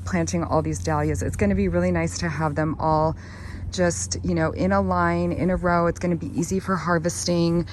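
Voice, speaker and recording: A hoarse woman who has partly lost her voice, chatting loosely in a garden vlog with lots of fillers. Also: lowish voice, slight background noise. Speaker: gardening vlogger. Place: backyard garden, outdoors.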